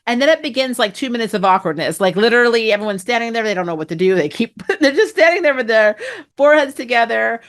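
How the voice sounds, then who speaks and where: A woman hosting a casual spoiler review of a TV episode, chatty and amused, laughing often and filling pauses with "um" and "you know". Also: mid-range voice. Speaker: TV review host.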